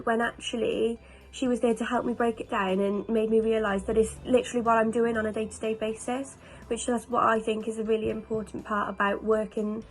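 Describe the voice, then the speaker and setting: A woman's voice: a little high, low-quality recording. A woman giving an earnest, flowing prepared testimonial as a nursery nurse apprentice.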